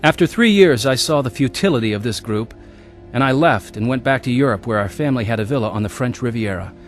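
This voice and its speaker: A man's voice: mid-range voice, expressive, quick pace, music in the background, close to an everyday voice. An actor playing a restless, searching adventurer who tells his own life story earnestly in a radio drama. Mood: reflective, earnest. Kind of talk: radio drama acting and first-person narration.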